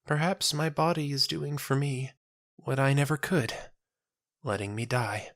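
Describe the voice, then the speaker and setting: A performer voicing an anguished dying woman of about thirty, a grieving wife and mother who pleads in drawn-out phrases, in an audio drama. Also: medium pitch, steady tone, fairly bright tone, dry recording, clean studio sound, voice pushed up. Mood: bitter despair.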